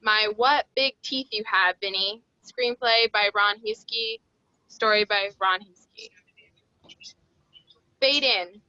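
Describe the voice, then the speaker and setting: A woman's voice: mid-range voice, slow pace, long pauses, sharp tone, no echo, highs cut off. A woman narrating stage directions at a screenplay table read.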